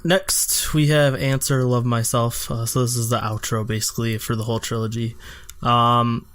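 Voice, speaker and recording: Calm man with a smooth, dry voice. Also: mid-range voice, moderate pace, no room sound. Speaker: podcast co-host. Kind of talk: casual music review chat.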